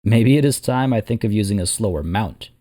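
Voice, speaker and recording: A man voicing a weary warlord in a fantasy story, musing in a gentle, wry, low-key way. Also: animated, fairly quick, no echo, clean studio sound, natural voice. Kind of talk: fantasy audio story character.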